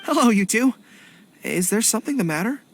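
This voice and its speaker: Earnest loyal young male officer, high and expressive,. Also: a little fast, gravelly, very noisy, full character voice. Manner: polite, earnest, dutiful. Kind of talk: game cutscene voice acting.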